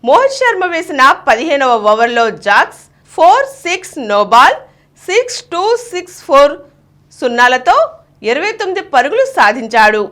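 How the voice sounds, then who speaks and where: High-pitched, lively woman news reader. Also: moderate pace. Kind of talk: scripted news bulletin reading.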